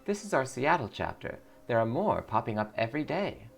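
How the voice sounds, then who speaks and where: A man's voice: mid-range voice, sing-song, a little fast, vocal fry, pitched above the performer's own voice. A man voicing a perky young female cult receptionist, earnest and eager, in video game dialogue. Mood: earnest, welcoming. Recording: music behind the voice.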